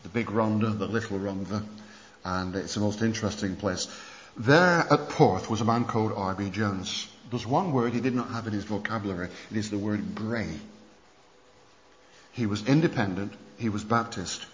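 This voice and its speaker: A man preaching at a conference, telling church history stories in an animated way with many restarts. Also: on the low side, moderate pace, slight background noise. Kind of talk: sermon lecture on church history. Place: conference hall. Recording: muffled hall recording with long reverb.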